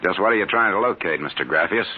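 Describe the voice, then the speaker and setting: An actor playing a sarcastic, brash private detective in 1950s radio drama style, on a muffled old broadcast with hiss. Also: male voice, deep voice, animated. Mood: wry. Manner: brash, sarcastic, fast-talking. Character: wisecracking hard-boiled private detective, male.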